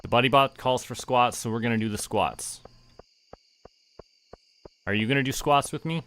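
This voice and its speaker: Bright-voiced man streaming casually. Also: mid-range voice, normal speed, a little hiss or hum, poor audio. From Canada. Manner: talks to himself and viewers while playing. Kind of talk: casual game livestream chat.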